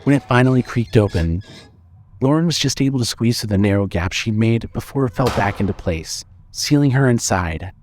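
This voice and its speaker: A man narrating a scripted story with measured, suspenseful storytelling. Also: mid-range voice, darkish tone, a little hiss or hum.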